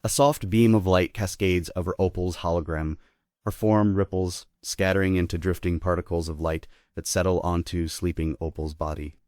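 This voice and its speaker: A man's voice: low-pitched, steady tone, normal speed, a little mellow, no room sound, clean studio sound. A man narrating stage directions in a scripted table read.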